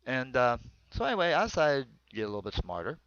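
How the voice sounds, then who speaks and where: A dry, slightly dull recording of a middle-aged American man with a medium-pitched voice. He delivers a comic monologue of personal stories, rambling along in everyday, salty language. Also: wildly swooping pitch, fast, fairly bright tone, dry recording. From small town in northwestern Pennsylvania, United States. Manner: colloquial, rambling, crude-humored. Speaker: comedian telling stories.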